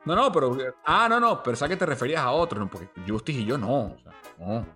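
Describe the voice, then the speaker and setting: Middle-aged male sports host from Venezuela; loose, joking delivery over soft music. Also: mid-range voice, big pitch swings, quick pace. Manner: jokey, chatty, many fillers. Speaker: podcast host, sports journalist. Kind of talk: casual chat.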